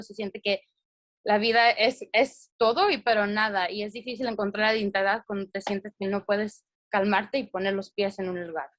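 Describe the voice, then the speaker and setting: Young woman, higher voice, earnest presenter, halting with fillers, dry close sound. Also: fairly bright tone, no echo. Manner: earnest, hesitant, many fillers and restarts. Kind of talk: community presentation.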